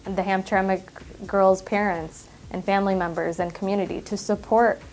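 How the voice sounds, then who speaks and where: A woman's voice: medium pitch, fairly even intonation, normal speed, darkish tone, a little hiss or hum. A young adult woman from Michigan who grew up speaking Arabic first, a clinic director talking earnestly in an interview, often saying "you know". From Michigan, USA. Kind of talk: interview monologue.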